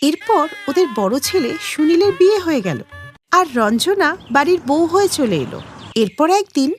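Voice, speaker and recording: A woman's voice: high-pitched, big pitch swings, moderate pace, soft background music. A woman narrating a scripted cartoon story.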